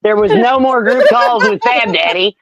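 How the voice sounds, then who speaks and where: A woman's voice: on the high side, huge pitch swings, moderate pace, highs cut off, slight background noise. A woman in her fifties chatting casually on a livestream panel in a blunt way, swearing freely. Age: 50s.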